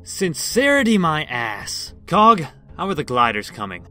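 Man voicing a cocky, animated young prince. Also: a little high, measured pace, soft background music, full character voice. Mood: brash, defiant. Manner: cocky, imperious, blunt. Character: brash, arrogant, impatient young prince. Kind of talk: amateur comic dub acting.

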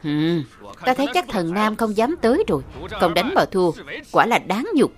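A woman reading a scripted voice-over dub for an animated fantasy drama. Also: medium pitch, normal speed. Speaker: voice-over narrator dubbing all characters.

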